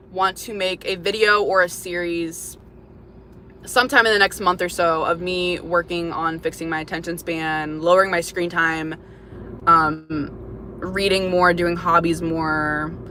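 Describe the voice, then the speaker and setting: Young woman YouTuber, casual livestream chat: bright, forward tone at a mid pitch, rambling and filler-heavy, faint hum behind her. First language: English, also speaks Lithuanian. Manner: chatty, rambling, lots of "like".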